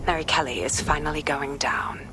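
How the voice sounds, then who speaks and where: A woman's voice: sits low, normal speed, background noise, close to an everyday voice. An actress playing a righteous, determined female investigator in a video game, purposeful and businesslike. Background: music.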